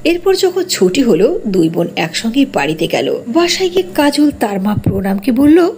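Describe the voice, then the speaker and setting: A woman's voice: fairly high pitch, very animated, moderate pace, a little mellow, a little hiss or hum. A woman narrating a scripted cartoon fairy tale.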